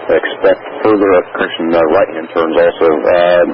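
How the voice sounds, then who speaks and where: A man with a low, very even voice works as a departure controller. He gives clipped instructions over an aviation radio, which sounds dull with light noise. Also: normal speed. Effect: aviation radio transmission. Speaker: air traffic departure controller.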